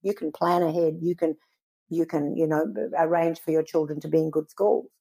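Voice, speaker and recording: A woman from Israel, a guest in an interview, giving earnest, measured answers and often pausing to search for words. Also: deep voice, little pitch movement, gravelly, mellow, slightly rough.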